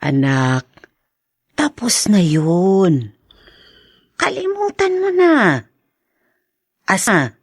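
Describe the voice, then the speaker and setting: A woman's voice: mid-range voice, sing-song, extremely slow, long pauses, husky, no room sound, clean studio sound, exaggerated voice. An actress playing a patient older mother, earnest and admonishing, in a radio drama. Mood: earnest, pleading. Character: patient older mother counseling her daughter.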